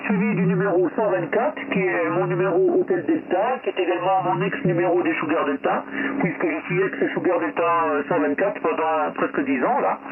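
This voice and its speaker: A man with a Belgian French accent chatting as an amateur radio operator over the air, explaining things step by step. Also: high-pitched. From francophone Belgium. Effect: radio transmission. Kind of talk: radio contact chat. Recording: narrow-band radio signal with loud static.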